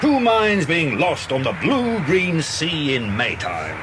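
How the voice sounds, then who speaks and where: An actor playing a genial, reassuring British detective and family friend in a children's audio drama. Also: male voice, big pitch swings, slow. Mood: hearty, reassuring. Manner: hearty, avuncular. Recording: noisy cassette with vehicle rumble.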